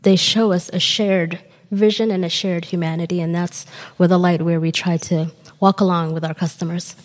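A woman's voice: lowish voice, little pitch movement, normal speed. A woman who grew up in Somalia, speaking as a panel guest from a refugee aid group, earnest and often restarting her sentences. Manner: earnest, searching for words with restarts. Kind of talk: panel discussion. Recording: long hall reverb.

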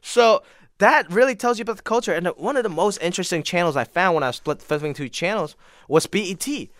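A man from Hong Kong in his thirties, a comedian guest telling animated stories in a casual interview. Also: high voice, quick pace, no room sound, studio quality. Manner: animated storyteller.

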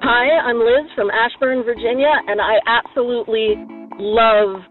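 A woman's voice: on the high side, fairly even intonation, measured pace. A woman calling in as a listener, leaving a casual recommendation over the phone. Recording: tinny phone line, music underneath.

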